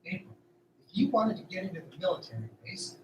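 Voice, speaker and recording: Guy acting as earnest stubborn farm worker, high voice, echoey noisy radio play recording. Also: big pitch swings, fairly quick. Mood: urgent, determined. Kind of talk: audio drama dialogue. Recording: roomy, distant, noisy amateur recording.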